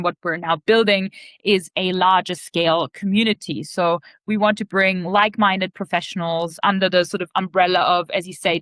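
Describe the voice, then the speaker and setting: A woman's voice: lowish voice, a bit bright, dry recording. A woman from Austria answering interview questions in an earnest, reflective, conversational way. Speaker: podcast guest, leadership organization CEO.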